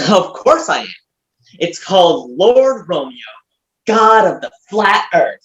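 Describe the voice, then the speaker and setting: Casting: actress as adoring, long-winded man for comic stage reading; mid-range voice, drawn-out halting delivery, earnest melodrama. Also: mid-range voice, sing-song, lo-fi sound. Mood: proud. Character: rambling, adoring male biographer Mercutio, devoted friend.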